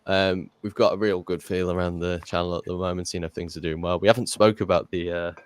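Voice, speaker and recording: A man's voice: low-pitched, little pitch movement, fast, clear, resonant tone. A young man hosting a casual podcast, rambling with lots of fillers. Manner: rambling, filler-heavy, chatty. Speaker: podcast host.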